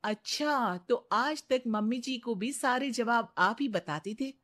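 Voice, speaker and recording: Woman playing a smug, high-voiced cartoon daughter-in-law. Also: slow pace, a bit bright. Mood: taunting. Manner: taunting, self-assured. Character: smug, challenging young daughter-in-law who loves riddles. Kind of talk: animated story dialogue.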